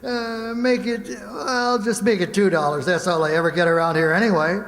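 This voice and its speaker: Man playing a high-voiced, tongue-tangled shifty lawyer. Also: steady tone, normal speed, creaky, background noise. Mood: evasive, flustered. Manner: stammering, rambling, muddles words. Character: bumbling, shifty male small-town lawyer, tongue-tangled. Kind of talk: old-time radio comedy acting on stage. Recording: echoey hall with long reverb.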